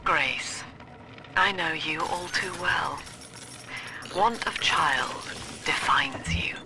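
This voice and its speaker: An actress playing a cold, composed cult leader and psychiatrist villain in a video game, speaking in grave, precisely articulated, preaching monologues. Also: female voice, deep voice, extremely slow, background noise, full character voice. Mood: calm persuasion.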